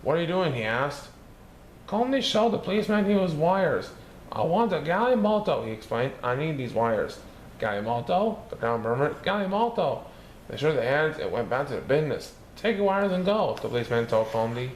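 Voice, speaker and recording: A man reading a children's picture book aloud, lifting his voice high for the characters' lines. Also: normal speed. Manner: lively, raising his pitch for characters' lines. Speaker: storybook reader. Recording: echoey room with faint background noise.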